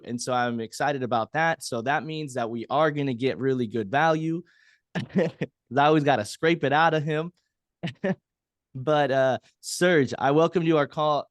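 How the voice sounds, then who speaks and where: A man hosts an agency team video call with a mid-range voice. He is upbeat but rambles and fills his pauses. His sound is dry and close, with no room echo. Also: medium pitch. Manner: upbeat, rambling, frequent fillers. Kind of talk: casual team call talk.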